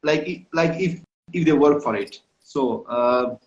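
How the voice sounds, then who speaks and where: A man's voice: on the high side, slow pace, echoey room, highs cut off. A man hosting a live stream, greeting viewers one by one and hesitating often with fillers. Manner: hesitant, many fillers and restarts. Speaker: host. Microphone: phone or video call mic.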